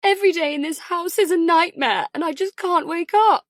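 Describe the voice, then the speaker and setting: A woman's voice: very high-pitched, moderate pace, no room sound, clean studio sound, voice pushed up. A woman voicing a tearful, self-pitying female chateau owner from a TV show in an audiobook. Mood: sobbing despair. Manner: tearful wailing. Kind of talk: audiobook character voice.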